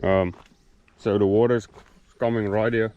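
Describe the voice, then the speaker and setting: Man narrating farm chores. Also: on the low side. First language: Afrikaans. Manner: relaxed, halting with many fillers, explaining as he works. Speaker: farmer. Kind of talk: casual farm vlog walkthrough. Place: outdoors on a farm by irrigation pumps. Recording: dull lo-fi sound with loud outdoor noise.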